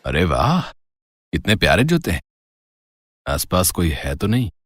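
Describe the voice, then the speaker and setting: A man's voice: deep voice, big pitch swings, a little slow, fairly bright tone, heavily acted. A man playing a sly, gleeful petty thief in a moral story cartoon, muttering and coaxing with mock piety. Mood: sneaky awe.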